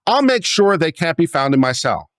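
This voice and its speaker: A man reading a letter aloud as a dramatic performance, in a theatrical, expressive manner. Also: a little high, moderate pace, dry recording, clean studio sound. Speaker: reader of a letter.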